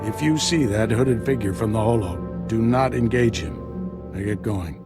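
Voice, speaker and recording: An actor playing a seasoned, commanding Jedi master in a video game, solemn and authoritative. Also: male voice, fairly low pitch, measured pace, natural voice. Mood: urgent command. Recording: loud music behind.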